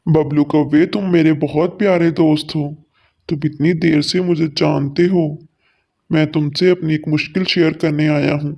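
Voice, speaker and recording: A man's voice: on the high side, fairly even intonation, moderate pace, vocal fry, darkish tone. A man voicing a kindly, earnest father sparrow in a cartoon story, with a reassuring manner. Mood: earnest, pleading.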